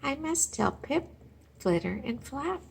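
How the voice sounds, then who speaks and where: A woman voicing an excitable, innocent young female bat in a children's storybook, earnest and exclaiming. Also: sits high, takes it slowly, creaky, voice pushed up, heavily acted. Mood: excited.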